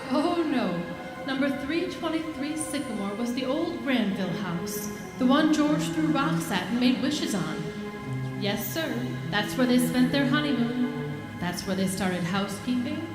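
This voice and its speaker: A high female voice , acted by a woman, as a knowing angel in charge, telling a story in a radio play. She is matter-of-fact, in an echoey room with clear music behind her. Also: lively intonation, a little slow. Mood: reminiscing. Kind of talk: storytelling in a live 1940s-style radio play. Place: live stage performance. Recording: roomy stage sound.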